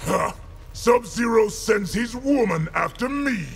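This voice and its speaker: A man voicing a menacing male villain in a video game, speaking in a booming, threatening way. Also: lively intonation, slow, vocal fry, a little hiss or hum, full character voice.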